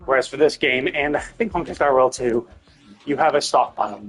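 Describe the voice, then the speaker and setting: A man livestreaming a game with casual, rambling commentary, thinking out loud, cutting himself off and swearing freely. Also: medium pitch, fairly quick, breathy edge, soft background music. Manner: casually profane. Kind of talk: casual gameplay stream commentary.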